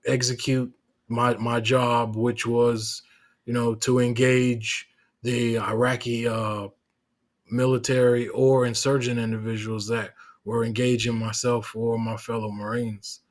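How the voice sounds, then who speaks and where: A man who is a Marine veteran, a guest in a casual interview, speaking in a measured way with many pauses and restarts. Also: medium pitch, level tone.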